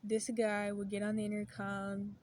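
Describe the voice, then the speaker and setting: Woman vlogger, hushed and even-toned, casual rambling storyteller. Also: medium pitch, normal speed, a little hiss or hum. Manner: rambling, self-correcting, quiet and hushed at times.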